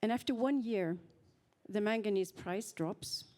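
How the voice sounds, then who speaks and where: A woman who speaks on a panel, telling her story in an earnest, reflective way with thoughtful pauses. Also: mid-range voice, a little mellow. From Norway. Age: 50s.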